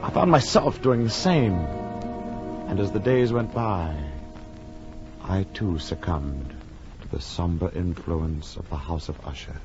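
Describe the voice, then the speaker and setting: An actor playing an earnest, uneasy gentleman friend who narrates a gothic horror tale, grave and theatrical in 1940s radio style. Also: low voice. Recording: muffled 1940s radio recording with music.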